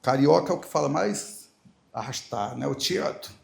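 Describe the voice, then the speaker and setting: Middle-aged preacher with a Paraíba accent. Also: male voice, mid-range voice, lively intonation, moderate pace, gravelly. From Paraíba, Brazil. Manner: warm, storytelling, playful asides, emphatic repetition. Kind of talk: church talk with Bible reading.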